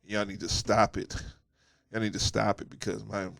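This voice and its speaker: A man's voice: lowish voice, level tone, moderate pace, vocal fry, fairly bright tone, dry recording. A man with a stuffy nose from a cold, giving a casual opinion monologue as host of his channel, emphatic and preachy, repeating his points and asking "right?".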